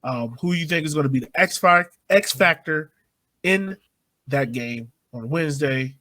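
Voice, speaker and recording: A man's voice: on the high side, a little slow, pushed and bright. A man hosting a sports podcast, talking casually and informally in a conversational way. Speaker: podcast host.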